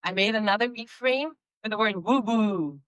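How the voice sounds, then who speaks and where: A woman with a slight Spanish accent, Spanish being her first language, giving an emphatic inspirational speech and slowing down for key phrases. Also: medium pitch, fairly bright tone, clear, resonant tone, no echo, poor audio. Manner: emphatic, slows down for key phrases, laughs between points. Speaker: motivational speaker.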